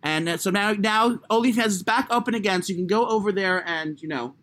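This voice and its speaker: A man with a high voice chatting as a podcast co-host, crude, rambling and self-mocking. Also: brisk, fairly bright tone. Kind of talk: casual chat.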